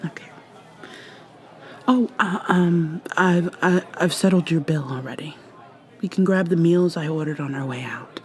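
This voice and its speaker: A woman voicing an ageless, secretive female vampire mermaid in an ASMR roleplay, soft-spoken and often whispering, with halting restarts. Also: fairly low pitch, lightly acted. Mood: tender.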